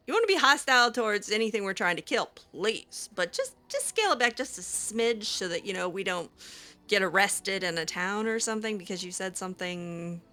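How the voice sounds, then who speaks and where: Hesitant young woman roleplaying, lively. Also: mid-range voice. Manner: hesitant, filler-heavy, giggly. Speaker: host roleplaying her game character. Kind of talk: roleplaying character dialogue aloud.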